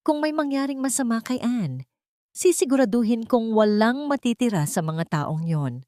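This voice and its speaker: A woman's voice: on the high side, expressive, moderate pace, mellow, dry recording, clean studio sound, pitched above the performer's own voice, lightly acted. Woman voicing an intense, protective romantic hero. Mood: angry, urgent.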